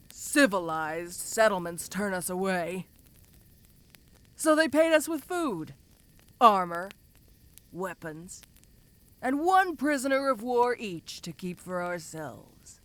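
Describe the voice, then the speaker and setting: Bright-voiced, teasing giantess ogre played by a woman. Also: lowish voice, expressive, slow pace, pauses often. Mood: smug, explaining. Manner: casual, teasing, condescending. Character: tough, domineering giantess ogre warrior, teasing captor. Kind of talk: fantasy audio roleplay addressed to the listener.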